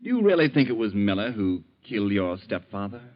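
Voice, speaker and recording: An actor playing a mild but shrewd bank teller who probes in a folksy, leading way, in 1940s radio style. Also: male voice, on the low side, sing-song, brisk. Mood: musing, probing. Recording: dull, lo-fi 1940s radio recording.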